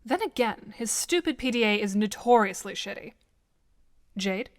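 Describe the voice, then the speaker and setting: A woman's voice: medium pitch, lively intonation, normal speed, lightly acted. A woman voicing a dry-witted, composed young gamer in an audio drama, speaking precisely and formally. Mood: measured, analytical.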